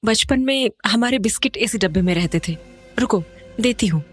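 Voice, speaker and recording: A woman playing an uneasy young mother in a horror audio drama, expressive and questioning. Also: a little high, normal speed, soft background music, lightly acted. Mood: subdued. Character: uneasy young mother back in childhood home.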